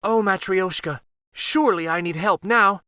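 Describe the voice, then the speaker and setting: A man's voice: sits high, moderate pace, bright, forceful tone, smooth, dry recording, clean studio sound, pitched above the performer's own voice. A man voicing a polite, brave young peasant woman in a fairytale read aloud. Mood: pleading. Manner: polite, pleading.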